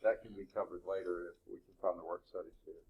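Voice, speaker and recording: A man with a loud voice coaching a math team in a classroom, relaxed and encouraging. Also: low voice, fast talker, vocal fry, phone-quality sound. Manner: relaxed, encouraging, many short agreements. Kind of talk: casual classroom talk.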